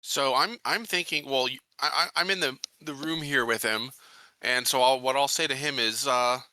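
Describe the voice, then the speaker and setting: A man's voice: mid-range voice, pushed and bright, no echo. A man playing a tabletop game, talking casually and hesitantly, feeling out his words as he negotiates. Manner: hesitant, deliberate, frequent pauses.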